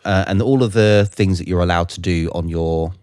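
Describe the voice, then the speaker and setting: A middle-aged British man co-hosting a casual podcast, explaining things in a halting way. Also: sits low, a little mellow, smooth, no echo, clean studio sound. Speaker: podcast co-host.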